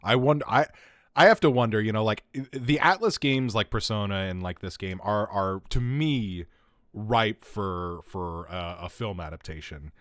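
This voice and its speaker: A man's voice: lowish voice, normal speed, a bit bright, dry recording, studio quality. A man chatting casually as he streams a video game, talking in a rambling, conversational way. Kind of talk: casual livestream gameplay chat. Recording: game music behind the voice. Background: video game music.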